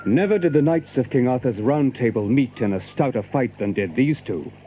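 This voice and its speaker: A man narrating an adventure story from a script, in measured, literary, archaic English. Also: mid-range voice, animated, warm tone, a little hiss or hum.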